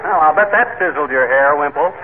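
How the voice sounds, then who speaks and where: An actor playing a blustering, wisecracking husband with an American accent, slangy and brusque, in 1940s radio comedy style. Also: male voice, a little high, a little fast, natural voice. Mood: brash. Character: brash, blustering, wisecracking middle-class husband. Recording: noisy, tinny old radio broadcast.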